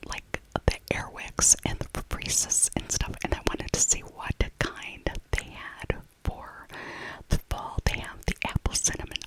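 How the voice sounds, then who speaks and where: Female, middle-aged. Soft, breathy whisper throughout for chatty ASMR voiceover, rambling casually and repeating herself. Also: low-quality recording. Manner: chatty, repeats phrases for emphasis. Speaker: ASMR video creator.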